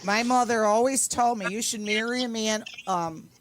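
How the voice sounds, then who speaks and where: A woman's voice: on the high side, moderate pace, a little hiss or hum. An older woman in casual chat, self-deprecating and often laughing as she talks. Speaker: guest, singer and entertainer.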